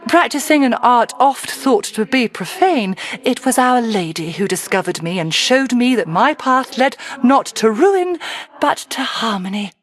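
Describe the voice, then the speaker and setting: An actress playing an eccentric, sentimental immortal witch in a fantasy video game, with an airy, theatrical, musing delivery and ornate archaic phrasing. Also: on the high side. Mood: nostalgic, fond.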